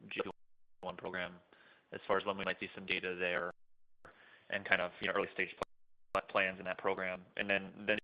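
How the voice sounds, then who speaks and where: A man asking questions as an analyst on a conference call, phrasing them casually and tentatively. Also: sits low. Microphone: conference call line. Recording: dull, lo-fi phone line.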